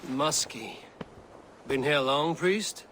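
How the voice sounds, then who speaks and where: An actor playing a bold, blunt young Viking warrior in a video game, with a commanding manner. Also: male voice, fairly high pitch, slow pace, a bit bright, a little hiss or hum. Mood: demanding.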